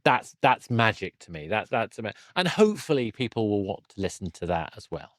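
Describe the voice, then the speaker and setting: A man's voice: lowish voice, big pitch swings, brisk, fairly bright tone, no room sound, clean studio sound. A man hosting a casual interview podcast, speaking conversationally with a stumbling, self-correcting delivery.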